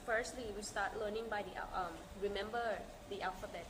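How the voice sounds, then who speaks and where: A young Thai-accented woman recalls things in a casual discussion, pausing with fillers as she speaks English. Her pitch is middle for a woman. Clear background noise runs under the recording. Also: steady tone. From Thailand. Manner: halting, uses fillers while recalling. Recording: noisy room recording.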